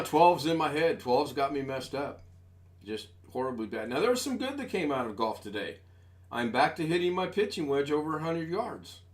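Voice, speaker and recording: A man in his sixties hosting a casual live stream from home on a desk microphone, chatting in a rambling, folksy, self-deprecating way. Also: medium pitch. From United States. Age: 60s. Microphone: desk USB microphone.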